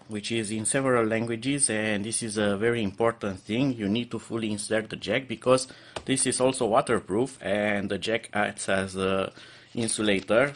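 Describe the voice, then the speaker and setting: Low-pitched man explaining a product. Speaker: product reviewer. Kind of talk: unscripted unboxing and product review.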